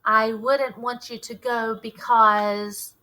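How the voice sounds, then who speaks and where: A woman's voice: a little high, level tone, slow, sharp tone, low-quality recording. A woman reading a storybook as an anxious, put-upon Southern boy who pleads with his mother. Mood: nervous.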